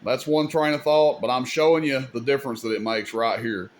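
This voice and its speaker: Casting: male demo host, slightly low voice, informal and opinionated, casual explainer delivery, audible background noise. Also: steady tone, fairly quick. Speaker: host demonstrating radio gear. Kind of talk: casual hands-on demonstration. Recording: clear background noise, room ambience.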